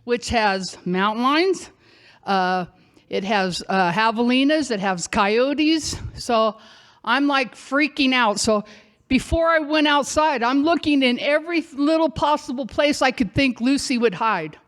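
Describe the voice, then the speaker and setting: Woman storyteller on stage with a loud, high voice, animated and unhurried. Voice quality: loud, projecting voice. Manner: animated, conversational, acts out shouting and quotes. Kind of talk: live personal storytelling on stage. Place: theater stage.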